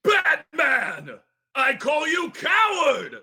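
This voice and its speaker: A man's voice: very high voice, huge pitch swings, drawn-out words, sharp tone, shouting, exaggerated voice. A man voicing a huge, savage, boastful mutant gang leader in a cartoon table read, bellowing threats in broken English. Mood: taunting fury. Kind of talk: live table read of animated series script.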